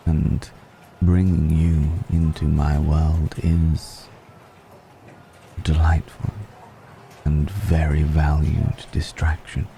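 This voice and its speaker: A man playing an ancient, suave vampire noble in an intimate ASMR roleplay, speaking formally and deliberately with long pauses in a gravelly voice, seductive and affectionately amused. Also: warm tone, slight background noise, full character voice, poor audio. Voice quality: very deep, gravelly.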